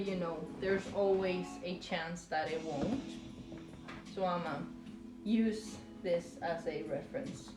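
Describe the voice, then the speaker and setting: A woman from Norway, chatting casually to live stream viewers in a hesitant, rambling, playful way. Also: on the low side. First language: Norwegian. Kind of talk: casual chat with stream viewers while crocheting. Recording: roomy echo with music behind. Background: music.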